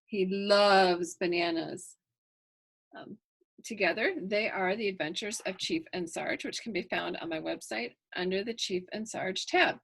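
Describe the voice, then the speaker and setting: A woman's voice: medium pitch, vocal fry, fairly bright tone, slightly rough. A woman reading aloud for children, chatting playfully and directly to young listeners. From United States, Texas. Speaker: storyteller reading picture books aloud.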